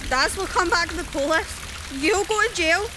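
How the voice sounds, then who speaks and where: A woman's voice: very high-pitched, expressive, moderate pace, background noise. An actress playing a distressed but defiant young woman in a horror radio play. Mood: defiant, desperate.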